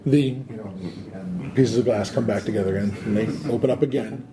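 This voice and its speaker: A man narrating a tabletop horror game as game master, with halting restarts. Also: fairly low pitch, a crowd in the background.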